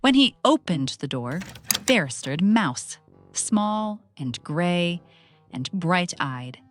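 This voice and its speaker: A woman with a slightly low voice narrates a children's story. Her delivery is very expressive and unhurried, with soft music playing behind her.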